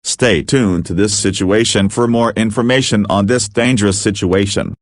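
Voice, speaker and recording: A man's voice: fairly low pitch, normal speed, dry recording, clean studio sound, natural voice. A man playing a frazzled emergency broadcast announcer in an amateur doomsday drama, mixing formal bulletins with slangy exclamations. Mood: grave. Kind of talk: amateur scripted emergency broadcast narration with informal outbursts.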